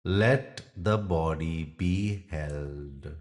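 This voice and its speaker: A man teaching yoga nidra, guiding a meditation gently in short phrases with long pauses. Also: rumbling low. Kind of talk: guided meditation.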